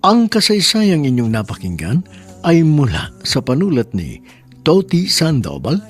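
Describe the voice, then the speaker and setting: A man's voice: on the high side, huge pitch swings, a little slow, faint music behind. Sentimental male radio drama narrator. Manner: earnest, sentimental storytelling.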